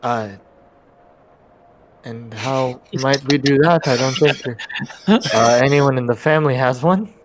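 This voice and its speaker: Male, mid-pitched, tentative tabletop player improvising dialogue, stop-and-start delivery. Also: a crowd in the background, poor audio. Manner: hesitant, halting delivery with trailing phrases. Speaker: player in a tabletop role-playing game.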